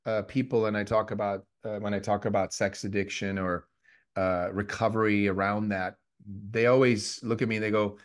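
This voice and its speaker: A man in his fifties from Switzerland, co-hosting a podcast in a reflective, halting way with many restarts. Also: on the low side, flat delivery, smooth. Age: 50s. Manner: reflective, restarts often, lots of fillers. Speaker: podcast co-host. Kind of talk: casual conversational podcast.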